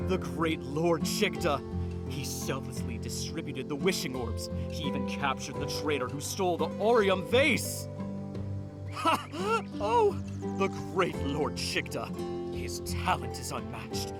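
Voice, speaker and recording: A man playing a zealous, starry-eyed little sprite in a video game, boastful, dramatic and gushing with reverence. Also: on the high side. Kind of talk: video game character acting. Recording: music behind the voice.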